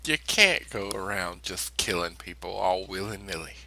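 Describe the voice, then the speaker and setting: A man's voice: low voice, animated, moderate pace, pushed and bright. A man chatting casually as a player in a tabletop game, with some background noise. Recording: clear background noise, ambient.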